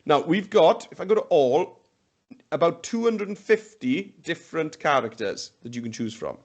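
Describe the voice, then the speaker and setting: Upbeat man demoing software. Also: a little high, very animated, normal speed, dull audio. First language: Welsh. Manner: upbeat, chatty, thinks aloud. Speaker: webinar presenter. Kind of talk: live software demonstration. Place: indoor room, on a video call.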